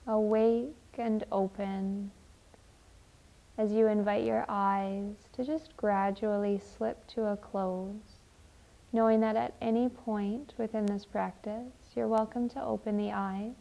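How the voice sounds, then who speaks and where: A woman leading a guided meditation in a gentle, measured, deliberate way. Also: mid-range voice, fairly even intonation, creaky, poor audio. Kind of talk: slow, soothing guided meditation and poem reading.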